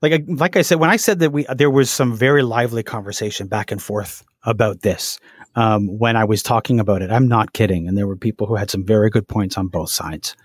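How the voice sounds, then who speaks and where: A man's voice: fairly low pitch, brisk, husky, no echo, clean studio sound. A man hosting a podcast, speaking hesitantly with many fillers and restarts. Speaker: podcast host. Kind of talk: podcast interview.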